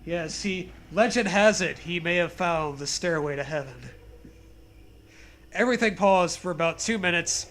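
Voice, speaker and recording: High, bright young man reading aloud comedically. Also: moderate pace. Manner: jokey, irreverent, laughs often. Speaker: podcast host reading bad fan fiction. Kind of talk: comedic read-aloud with casual riffing.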